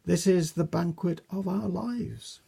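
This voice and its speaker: A reader doing a greedy, shouting mob. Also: mid-range voice, slow pace, vocal fry, breathy edge, heavily acted. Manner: excited and greedy.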